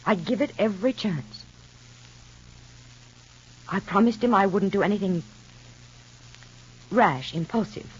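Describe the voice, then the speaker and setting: An American actress playing an insistent, exasperated middle-aged mother in a vintage radio soap, with a husky voice. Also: medium pitch, measured pace, pauses often. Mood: earnest, pleading. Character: insistent, exasperated mother figure lecturing a younger woman. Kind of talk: 1950s radio soap acting. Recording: dull vintage radio recording.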